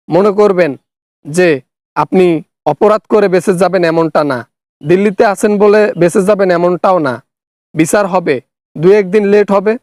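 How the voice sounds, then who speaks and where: A man's voice: high voice, a little mellow, no echo, clean studio sound. A man with a Bangladeshi accent hosting a satirical cartoon skit, speaking in a scolding, sarcastic way. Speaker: parody channel host, accuser in skit.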